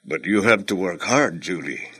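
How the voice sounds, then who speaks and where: A Filipino man playing a businesslike corporate boss in radio drama, formal and courteous with measured authority. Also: low-pitched, expressive, bright, forceful tone, breathy edge, full character voice. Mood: firm. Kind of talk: Tagalog radio drama acting, mixing in English.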